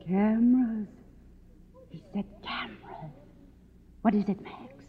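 A woman's voice: mid-range voice, takes it slowly, lightly acted. An actress playing a deluded, grand, middle-aged former film queen in a 1950s radio drama, theatrical and dreamy. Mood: regal, distracted. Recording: tinny vintage radio recording, music underneath.

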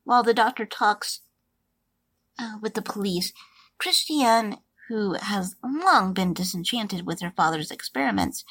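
A woman hosting a podcast, reading a steady plot recap and then chatting loosely with fillers. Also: medium pitch, slightly rough, no room sound. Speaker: podcast host. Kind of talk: reading a plot summary, then casual commentary.

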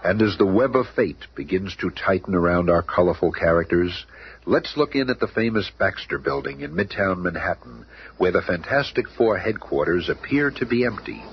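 An actor playing the dramatic narrator of a superhero radio serial, reading scripted narration. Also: male voice, low voice, expressive, moderate pace, husky, heavily acted. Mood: suspenseful. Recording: muffled, noisy old radio recording.

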